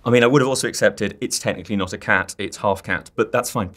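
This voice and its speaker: A man's voice: on the low side, fast talker, fairly bright tone. A man, interviewing two actors and quizzing them in a playful, teasing way, recorded close in a small room. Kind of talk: press junket quiz. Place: small dark junket room indoors.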